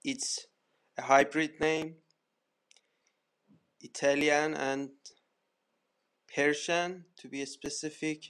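A man with an Iranian accent giving a formal spoken message, halting and deliberate with long pauses. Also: fairly high pitch, fairly even intonation, fairly bright tone, clear, resonant tone. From Iran. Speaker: voicemail caller. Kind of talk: formal spoken greeting and declaration.

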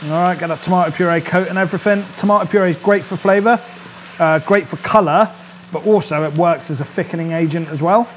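A man's voice: high voice, quick pace, slight background noise. A man in his thirties, a chef hosting a casual cooking demonstration in a studio kitchen, chatty and upbeat and often checking in with his viewers. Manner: chatty and upbeat, keeps checking in with "all right?". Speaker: chef and cooking show host. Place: indoor studio kitchen set. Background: sizzling and frying from the pan.

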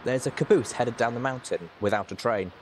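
Man voicing a bossy, higher-pitched young mare. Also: fairly quick, a little hiss or hum, close to an everyday voice. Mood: urgent, cross. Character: young mare pony, plucky, urgent and bossy.

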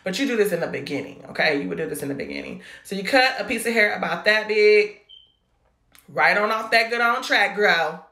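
American woman for casual hair vlog narration: slangy, playful, forceful tone, echoey room sound. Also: medium pitch, sing-song, fairly quick. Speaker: vlogger showing a hairstyle.

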